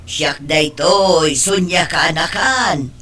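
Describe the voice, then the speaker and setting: A woman's voice: deep voice, slow, pushed and bright, full character voice. A woman playing a concerned, meddling middle-aged aunt in an Ilocano audio drama, fretful and chiding, with an Ilocano accent. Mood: grave, confiding.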